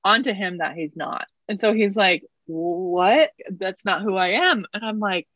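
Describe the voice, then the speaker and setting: A woman's voice: mid-range voice, a little slow, a bit bright, no room sound, highs cut off. A woman in her thirties from Canada, chatting as an interview guest with a self-deprecating, laughing manner. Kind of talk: casual interview chat.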